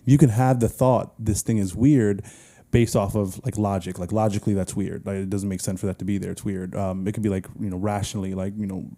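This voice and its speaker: A man with a low, very dark voice thinks out loud on a podcast. He muses loosely and leans on small fillers as he circles his point. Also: flat delivery, brisk. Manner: musing, loose, full of "like" and "you know". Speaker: podcast co-host. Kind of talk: casual chat.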